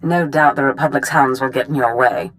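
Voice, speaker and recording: A woman playing a commanding, scheming Sith master in a video game cutscene, speaking with deliberate authority. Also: a bass voice, rough recording. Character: commanding, scheming female Sith master briefing her apprentice.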